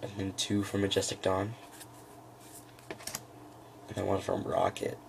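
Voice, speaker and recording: A man with a very low, heavily creaky and froggy voice presents his hobby cards casually. He talks off the cuff, halting and thinking aloud. There is faint noise in the recording. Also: completely flat delivery. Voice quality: froggy, gravelly. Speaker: trading card hobbyist presenting a deck. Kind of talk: casual unscripted card-by-card walkthrough.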